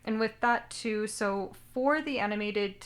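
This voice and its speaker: A woman's voice: mid-range voice, measured pace, clean tone. A young woman co-hosting a casual anime podcast, chatty and rambling, often second-guessing how she pronounces names. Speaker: podcast co-host.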